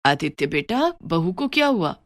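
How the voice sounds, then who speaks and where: A woman's voice: lowish voice, sing-song, moderate pace, a bit bright. A woman voicing a bossy, commanding middle-aged mother-in-law in a moral story. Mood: concerned. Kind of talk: moral story character dialogue.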